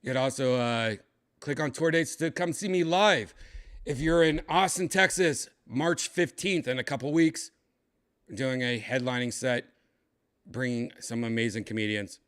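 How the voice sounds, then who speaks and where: A tipsy man with a raspy, dry-throated voice, chatting casually as a comedian hosting his livestream, rambling and clearing his throat often. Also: mid-range voice, moderate pace, fairly bright tone. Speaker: comedian streaming host.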